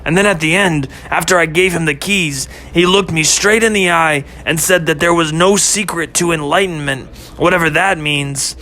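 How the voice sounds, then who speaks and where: A man acting out a troubled young man in an audio story, earnest and a little hesitant. His voice sits high and bright with a tense edge. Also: moderate pace, few pauses. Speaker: boyfriend in second-person story.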